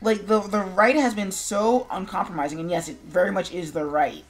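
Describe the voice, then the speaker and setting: A woman's voice: mid-range voice, steady tone, normal speed, faint music behind. An American woman streaming casual, opinionated chat in a sarcastic, blunt manner. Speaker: streamer, show host.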